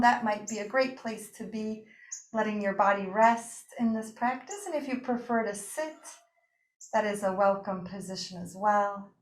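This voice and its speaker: A woman teaching breathing and yoga nidra, guiding a relaxation practice with gentle, invitational phrasing and pauses. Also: medium pitch. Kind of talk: guided meditation and relaxation instruction. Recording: roomy, echoing room sound.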